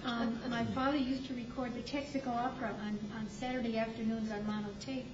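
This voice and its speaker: A woman with a fairly high voice shares anecdotes from the floor of a meeting. She sounds distant in a very echoey room, and the recording is muffled with plenty of noise and rumble. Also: level tone, brisk. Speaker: audience member.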